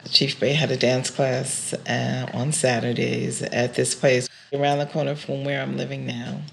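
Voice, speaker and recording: A woman, a singer speaking as an interview guest in a measured, thoughtful way. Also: a bass voice, level tone, gravelly. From United States. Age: 60s. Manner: measured, thoughtful, spiritual phrasing. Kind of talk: reflective conversational interview.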